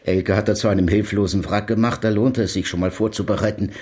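A bitter, accusing brother played by a man in a radio play. His voice is on the low side, and he speaks formally and insistently, with open scorn. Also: steady tone, fast, a little mellow, natural voice. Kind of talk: German radio play acting.

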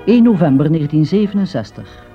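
A man's voice: fairly high pitch, very animated, fast talker, over loud music. A man formally announcing a radio play in a scripted style. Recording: muffled old radio recording.

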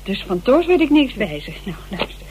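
A woman's voice: fairly high pitch, normal speed, slight background noise, rough recording. An actress playing a chatty, irreverent woman amateur sleuth in a colloquial radio drama. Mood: wry, confiding. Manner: gossipy, animated, colloquial. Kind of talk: conversational radio drama acting.

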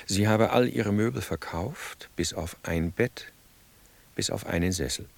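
Deep, creaky, disapproving accuser. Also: male voice, a little slow. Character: stern male accuser reciting damning psychiatric report. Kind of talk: radio drama, reported testimony.

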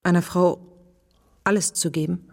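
An actress playing an earnest policewoman in a German radio drama, questioning in a deliberate, intimate and insistent way. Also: fairly low pitch, fairly even intonation, vocal fry. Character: earnest, probing policewoman challenging a wary man.

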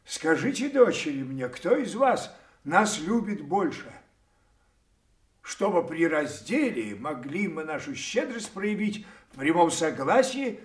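A man's voice: on the high side, very animated, slow. An actor playing an aged, imperious, weary king in an audio play, delivering solemn commanding verse. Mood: regal, solemn. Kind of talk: theatrical audio play acting. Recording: echoey stage hall, distant from mic.

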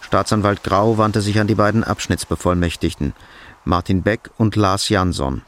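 Low-voiced male radio drama narrator. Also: fairly even intonation, moderate pace, a bit bright. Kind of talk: scripted literary narration.

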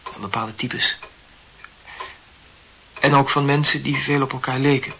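Bright-voiced, awkward young student. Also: male voice, medium pitch, fairly even intonation, measured pace, slight background noise. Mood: awkward, confessional. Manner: hesitant, over-explaining, formally polite. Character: earnest, awkward, talkative young male medical student. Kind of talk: radio play dialogue.

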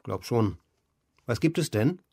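Deadpan, creaky-voiced drifting man. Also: mid-range voice, no room sound, studio quality. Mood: weary, domestic. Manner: deadpan, formal, deliberate wording. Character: idle, melancholy married man, drifting and deadpan. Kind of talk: German radio play acting and narration.

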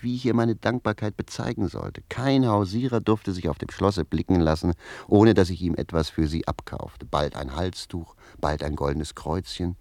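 A man's voice: sits low, a little mellow, dry recording. An actor playing a talkative, fatalistic manservant in a radio play, telling his tale in an earthy, wry way. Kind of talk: radio play acting, storytelling.

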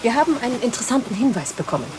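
A self-assured secret agent in her early thirties. Her highish voice moves in lively swings, brisk in a radio drama with clear background noise. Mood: brisk, confident. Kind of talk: radio play acting. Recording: radio play with noisy background.

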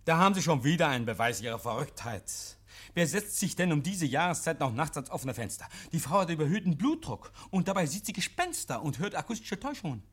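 An actor in a 1950s German radio play, playing a nervous, evasive private scholar who talks in a fussy, pedantic, over-polite and agitated way. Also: male voice, fairly high pitch, sing-song, quick pace, a bit bright, slightly rough, full character voice. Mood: agitated, insistent. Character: nervous, evasive male private scholar hosting policemen, defensive and testy. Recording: vintage 1951 radio drama recording.

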